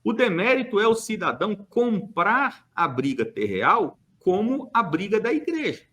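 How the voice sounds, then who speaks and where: A man's voice: sits high, big pitch swings, measured pace, fairly bright tone. A man from Brazil speaking on a church podcast, making an emphatic, argumentative case with rhetorical questions and hesitant fillers. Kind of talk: opinionated podcast monologue.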